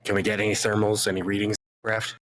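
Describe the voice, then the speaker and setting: Deep-voiced male player. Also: flat delivery, fast talker, a bit bright, dry recording. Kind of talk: tabletop game roleplay.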